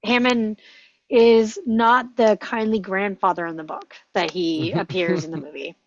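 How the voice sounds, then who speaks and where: Dull, lo-fi audio of a woman with an average-pitched voice. She talks informally, as if discussing something with a group. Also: fairly even intonation, a little slow, crowd noise. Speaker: table read participant. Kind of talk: casual discussion.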